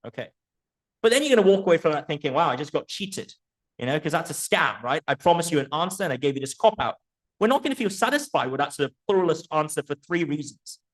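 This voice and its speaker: Higher-pitched man giving an articulate academic lecture. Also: very animated, fast talker, low-quality recording. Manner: articulate, erudite, rhetorical, checking in with "right?". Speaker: academic presenting a book talk. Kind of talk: academic lecture with rhetorical questions.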